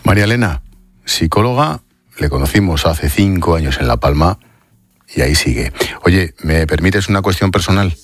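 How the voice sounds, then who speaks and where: A man's voice: low voice, lively intonation, moderate pace, slightly rough, no echo, faint music behind. A man hosting a radio program, asking direct questions and reading short news narration. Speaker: radio host.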